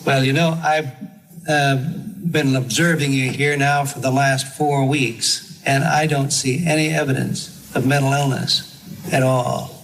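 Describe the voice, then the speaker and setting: An actor playing a measured, formal male doctor at a mental hospital in a film drama. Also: medium pitch, little pitch movement, a bit bright, poor audio. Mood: calm, measured.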